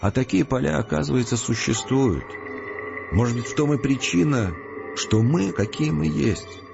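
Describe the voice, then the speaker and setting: An actor playing a calm, determined scientist who explains things earnestly and deliberately in an audio drama. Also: male voice, medium pitch, creaky. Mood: intense, philosophical. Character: resolute philosophical male scientist, calm, determined, reasoning patiently. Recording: muffled, with prominent music behind. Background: music.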